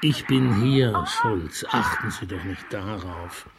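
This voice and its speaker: A man's voice: on the low side, big pitch swings, slow, creaky, heavy background noise, heavily acted. An actor playing a middle-aged, fussy police detective in a German radio crime comedy, courtly with strangers and testy with his assistant. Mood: exasperated, bossy. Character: fussy, easily exasperated male police detective, opera lover. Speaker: police inspector.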